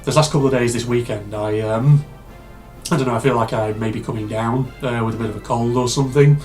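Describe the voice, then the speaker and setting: A man hosting a casual reaction video, rambling and chatty with lots of fillers, his voice slightly hoarse and congested from a cold. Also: mid-range voice, steady tone, a little fast, darkish tone, faint music behind.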